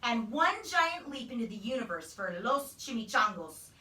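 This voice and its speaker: A woman's voice: on the high side, big pitch swings, moderate pace, pushed and bright. A woman, a teacher, narrating a storybook in a children's read-aloud style. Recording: roomy, distant microphone.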